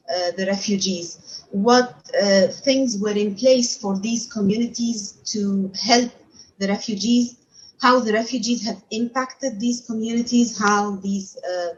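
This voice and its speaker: Hesitant woman on a dull webcam call. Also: a little high. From Egypt. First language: Arabic. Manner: hesitant, many filler sounds and restarts. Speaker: university researcher. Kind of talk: remote talk to an audience. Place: home room, seated in armchair. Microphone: webcam on video call. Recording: slightly dull lo-fi sound.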